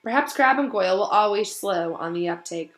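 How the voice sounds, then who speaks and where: A woman reading a storybook aloud as narrator, expressive, with casual asides to her listeners. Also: medium pitch, brisk.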